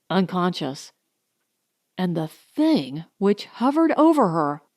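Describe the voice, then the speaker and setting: A woman narrates a science fiction story in a suspenseful, deliberate style. She reads slowly in short weighted phrases, with a mid-pitched voice and a close, dry sound. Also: a little mellow, no room sound. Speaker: audiobook narrator.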